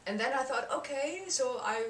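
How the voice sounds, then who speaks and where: A woman's voice: high-pitched, animated, normal speed. A young Austrian woman speaking English with a German accent, a comedian chatting in an interview, laughing often and keeping her words simple. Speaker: guest comedian and actress. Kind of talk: casual interview chat. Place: small room. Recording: roomy echo, distant mic, faint noise.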